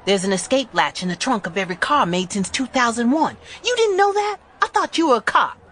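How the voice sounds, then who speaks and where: A fierce, feisty young activist in a radio play. Her voice is high, bright and very animated, defiant and wry, over faint background noise. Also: a little fast. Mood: brisk, wry. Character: defiant, resourceful young female protest activist. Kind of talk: radio drama acting.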